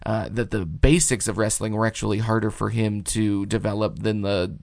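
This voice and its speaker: A man co-hosting a podcast in casual chat, his voice hoarse and raw after a concert, telling long enthusiastic stories. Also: on the low side, steady tone, moderate pace, darkish tone, dry recording, studio quality. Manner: enthusiastic, rambling storyteller. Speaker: podcast co-host and rapper.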